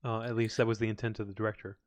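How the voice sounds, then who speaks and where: A man's voice: fairly low pitch, flat delivery, very fast, no room sound. A man from Hungary co-hosting a podcast in casual chat, hesitant and often restarting his sentences. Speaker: podcast co-host.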